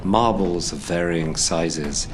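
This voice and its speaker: A man's voice: low-pitched, takes it slowly, background noise. A man giving a scripted, dramatic narration of a screenplay. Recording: loud music behind the narration. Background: music.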